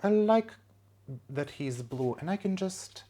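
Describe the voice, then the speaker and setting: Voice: medium pitch, expressive, slow, mellow. A plant vlogger talking casually at home, joking at their own expense and cooing over new plants. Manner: chatty, self-mocking, coos at plants. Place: home room, indoors.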